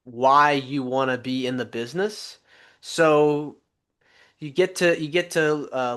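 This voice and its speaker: A man's voice: fairly high pitch, a bit bright. A man hosting a podcast interview, upbeat and welcoming. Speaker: podcast host.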